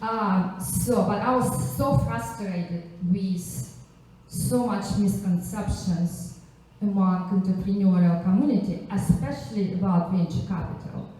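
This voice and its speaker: A woman from Russia with a Russian accent introduces herself as a panelist at a live event, chatty and halting, with frequent asides. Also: mid-range voice, mellow. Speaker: panelist, angel investor and economist. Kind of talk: informal self-introduction to a live audience. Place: echoey indoor event venue. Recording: very echoey room, distant microphone.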